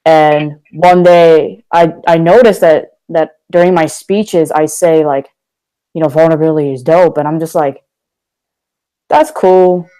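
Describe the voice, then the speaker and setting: A man's voice: on the high side, steady tone, a little slow, warm tone, clear, resonant tone, rough recording. A young man from Korea, a guest talking candidly and energetically in a casual podcast interview. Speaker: guest, motivational speaker.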